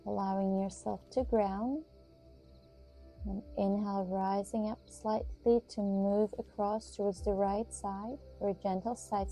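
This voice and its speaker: Very mellow, soft-toned woman guiding yoga. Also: medium pitch. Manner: soothing, measured instruction with pauses. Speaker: yoga instructor and host. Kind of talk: guided yoga and meditation instruction. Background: music.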